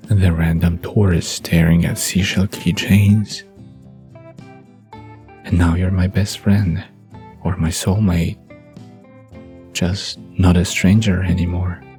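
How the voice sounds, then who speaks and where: A man's voice: low voice, steady tone, takes it slowly, long pauses. A man acting an amused, easygoing young man in an intimate bedtime audio drama. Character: Jimmy, amused, easygoing young man musing about fate. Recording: soft guitar music behind the voice.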